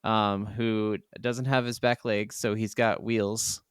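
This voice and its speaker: A man's voice: fairly low pitch, little pitch movement, slow pace, smooth, no echo, studio quality. A man co-hosting a podcast, chatting casually and enthusiastically about video games and laughing easily. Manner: enthusiastic, gushing. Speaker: podcast co-host.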